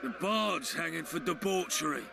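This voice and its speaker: An actor playing a coarse, bullying town guard in a video game, barking threats. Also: male voice, a little high, normal speed, creaky, over music. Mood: contemptuous.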